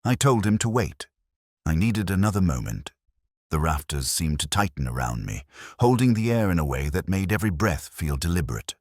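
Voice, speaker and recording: A man playing a weary, brooding older police inspector, narrating his case in a measured, solemn, deliberate way. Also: deep voice, sing-song, no room sound, studio quality. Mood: brooding, watchful. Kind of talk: scripted first-person mystery narration.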